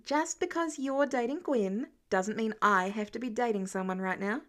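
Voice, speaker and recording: A woman's voice: a little high, moderate pace, a bit bright, natural voice. Woman as bookish teen boy, sarcastic, guarded, casually wry, story reading.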